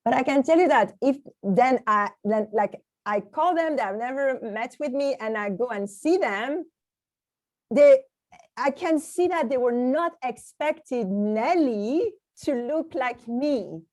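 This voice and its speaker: A woman from France speaks English with a French accent in a fairly high voice. Her delivery is conversational and emphatic, like a language teacher sharing her own experience in a webinar. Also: expressive, a little slow, a little mellow. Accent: French, Parisian. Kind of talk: conversational webinar talk with personal anecdotes.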